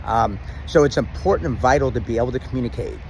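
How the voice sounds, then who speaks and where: A man's voice: mid-range voice, quick pace, gravelly, a little hiss or hum, low-quality recording. Rambling middle-aged man outdoors. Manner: rambling, many restarts and ums; says he has a loud, dominant voice. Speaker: vlogger giving relationship advice. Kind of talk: casual talk to camera.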